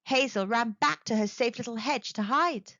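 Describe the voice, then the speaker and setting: A woman storyteller reading a children's picture book aloud with expressive narration. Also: fairly high pitch, normal speed, dry recording, muffled recording.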